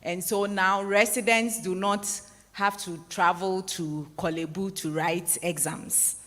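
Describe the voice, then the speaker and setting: A woman in her forties from Ghana, moderating a public lecture in a large hall, summing up the talk in a measured, formal way before opening the floor. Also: mid-range voice, steady tone, fairly bright tone. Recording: echoey hall with long reverb.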